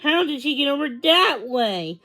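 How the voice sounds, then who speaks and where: A woman's voice: high voice, very animated, a little slow, pushed and bright, pitched above the performer's own voice, full character voice. A woman voicing Lizzie's part in a children's storybook, an eager, bossy young girl. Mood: excited, exasperated.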